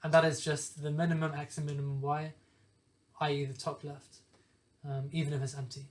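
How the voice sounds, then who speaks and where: A young man with a hoarse voice from a lingering cough, methodically explaining code step by step and often correcting himself. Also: a little high, little pitch movement, brisk, slight background noise. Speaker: programming tutor. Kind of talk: screen-share coding walkthrough.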